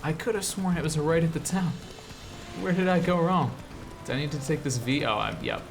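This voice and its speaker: A man's voice: a little high, fairly quick, gravelly, soft background music. An American man from the Midwest hosting casual game commentary, rambling and explanatory with an enthusiastic manner. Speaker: show host, 3D artist.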